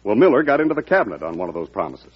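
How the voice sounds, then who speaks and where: An actor playing a genial, cautious senator in a classic radio drama, speaking in a tactful, hesitant and deferential way. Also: male voice, fairly low pitch, very animated, rapid-fire, slightly rough. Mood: cautious, persuasive. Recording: muffled old radio recording.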